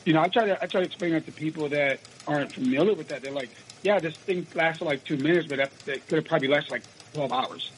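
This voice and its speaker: A raspy-voiced young man from the United States, an actor answering interview questions in a casual, rambling way with many restarts. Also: a little high, fast talker, few pauses, a little hiss or hum, low-quality recording. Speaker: actor guest.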